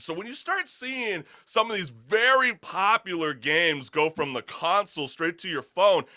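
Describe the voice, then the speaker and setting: A young man hosting a casual talk show, chatting in an animated, slangy, joking way. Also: sits high.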